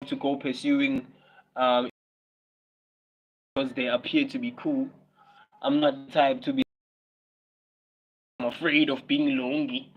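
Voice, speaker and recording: A man's voice: mid-range voice, little pitch movement, measured pace, pauses often, highs cut off. A South African man from South Africa hosting a casual livestream, musing aloud, repeating his phrases and dropping in Zulu words. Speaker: livestream host.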